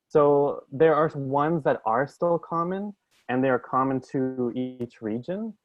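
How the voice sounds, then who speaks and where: A man in a casual discussion, talking in a thoughtful, measured way and hedging his points. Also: mid-range voice, dry recording. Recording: tinny phone-like call audio.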